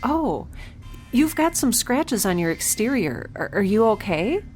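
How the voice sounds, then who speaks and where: A woman's voice: a little high, big pitch swings, unhurried, a little mellow, soft background music. A woman playing a plucky, impatient young scientist in a space audio drama, talking in a casual, chatty way. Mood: anxious, brisk. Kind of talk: scripted audio drama acting.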